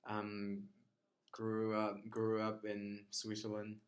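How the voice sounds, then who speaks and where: A man's voice: lowish voice, completely flat delivery, takes it slowly. A man interviewing a friend in casual chat, asking loose questions.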